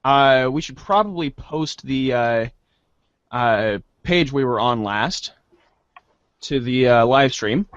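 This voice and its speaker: A man casually hosting a live-streamed reader's theater, talking in a halting, hesitant way. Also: mid-range voice, expressive, fairly bright tone, smooth, no room sound. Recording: dull, dry lo-fi mic.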